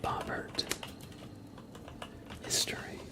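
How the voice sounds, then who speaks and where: A man chatting casually as an ASMR livestream host in a soft, breathy whisper, with an informal, halting, rambling way of talking. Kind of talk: ASMR, casual live chat.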